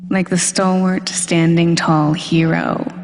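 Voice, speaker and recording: A woman speaks very slowly in a creaky voice washed in long, hall-like reverb. She delivers an intimate hypnosis monologue with deliberate, suggestive control. Her phrases often sink into a breathy near-whisper as she teases and commands. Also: lowish voice, flat delivery, darkish tone. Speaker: hypnosis audio performer.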